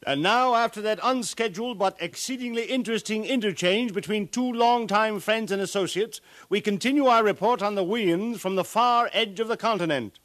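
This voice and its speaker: An earnest radio field reporter in a 1950s drama. His voice is high for a man and very dry, with a courteous, formal announcer delivery. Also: normal speed, few pauses, fairly bright tone, no echo. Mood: smooth, announcing. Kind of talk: 1950s radio newscast acting.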